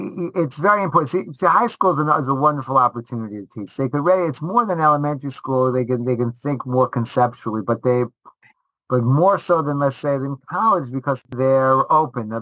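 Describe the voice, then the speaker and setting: A man with a bright, forceful voice and a close, dry sound talks casually in an interview. He is animated and emphatic, restating short phrases to drive them home, like a teacher making his point. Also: mid-range voice, no room sound, rough recording. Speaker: rabbi and high school teacher.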